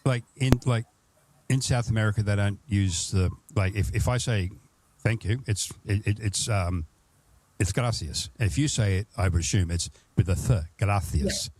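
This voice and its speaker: A man's voice: lowish voice, moderate pace, a little mellow, dry recording. An Australian man hosting a travel podcast, chatting casually and rambling through his questions, often restarting them. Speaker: podcast host. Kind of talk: casual interview chat.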